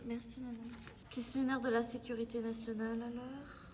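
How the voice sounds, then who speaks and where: A female performer playing a gentle, reassuring sixteen-year-old survivor in a French audio drama. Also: fairly high pitch, flat delivery, normal speed, slight background noise, lightly acted.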